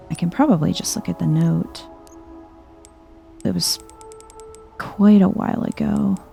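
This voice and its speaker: A soft-spoken woman giving calm, casual let's play commentary in an ASMR vibe, musing aloud as she plays. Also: lowish voice, vocal fry. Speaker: video game streamer, let's player.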